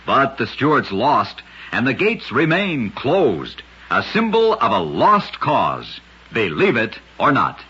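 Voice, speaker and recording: A raspy male radio narrator with a very animated, swooping delivery and a bright edge. He tells short scripted tales with dramatic emphasis, like a host on a vintage broadcast. The sound is dull and lo-fi. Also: medium pitch, slow.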